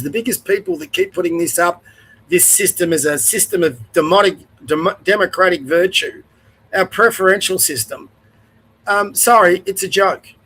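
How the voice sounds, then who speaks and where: An older Australian man with a fairly high-pitched voice, stuffed up and nasal from a cold. He rants bluntly to camera, rambling, cursing and tripping over his own sentences. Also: a bit bright, low-quality recording. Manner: blunt, rambling, swears, restarts often. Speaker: host. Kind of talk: opinion rant to camera.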